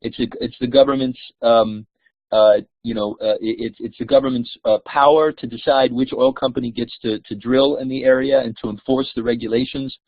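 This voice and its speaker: A man's voice: medium pitch, fairly even intonation, brisk, no echo. A man, a scholar, explaining at length in an online discussion, hesitant with frequent "um" and "uh". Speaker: scholar guest. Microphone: online call audio, video off. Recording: dry, tinny phone-like line.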